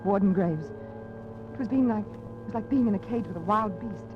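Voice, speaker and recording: A woman's voice: medium pitch, normal speed, soft background music, natural voice. An actress playing a frightened young woman who speaks anxiously and stammers in a 1940s radio drama, heard through a dull lo-fi broadcast recording. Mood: fearful.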